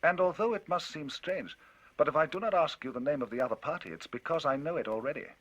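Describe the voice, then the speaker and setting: A man reading a grave, measured lawyer. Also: fairly high pitch, fairly bright tone, dull audio, pitched above the performer's own voice. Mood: probing.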